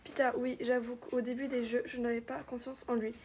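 A woman's voice: a little high, little pitch movement, a little fast, a little mellow, slight background noise, lightly acted. A woman playing an earnest archer heroine.

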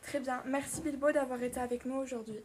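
A woman's voice: sits high, fairly even intonation, fast, very noisy. A woman journalist asking polite, scripted interview questions.

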